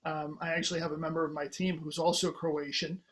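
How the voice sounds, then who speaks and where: A polite, encouraging man hosting an interview. His voice sits a bit higher than most men's, and he asks his questions in an easy conversational way. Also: little pitch movement, a little fast, a bit bright. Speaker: host.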